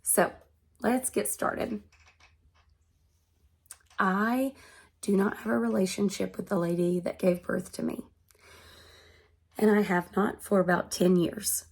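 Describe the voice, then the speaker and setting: Hoarse, congested woman speaking slowly. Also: medium pitch, fairly even intonation, a little mellow. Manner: slow, earnest and measured. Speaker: vlogger. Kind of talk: personal vlog monologue.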